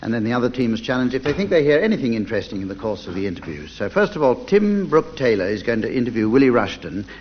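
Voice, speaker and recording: A man's voice: mid-range voice, very animated, fast talker, few pauses. Man, game show chairman, radio comedy hosting, dull archive sound, some background noise. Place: theatre with live audience. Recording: dull 1980s radio recording, noisy audience hall. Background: audience and background noise.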